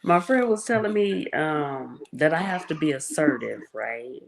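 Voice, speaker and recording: A woman with a very low, creaky, soft-spoken voice hosts a casual live stream. She tells stories in a relaxed, chatty way and laughs often. Her voice has a gravelly fry. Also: unhurried. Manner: laughs often, chatty storytelling, greets viewers. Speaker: livestream host.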